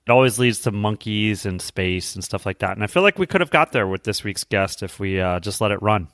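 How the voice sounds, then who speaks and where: A man's voice: on the low side, animated, a little fast, fairly bright tone, dry recording, clean studio sound. A man co-hosting a podcast, explaining things in a casual conversational style. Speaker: podcast co-host.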